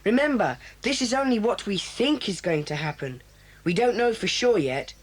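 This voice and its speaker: This is a confident boy club leader, played by a woman in a storybook drama. He speaks at a middle pitch, briskly and decisively. He sounds sure of what the group must do next. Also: expressive, lightly acted, low-quality recording. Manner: brisk, takes charge. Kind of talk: audio drama for children.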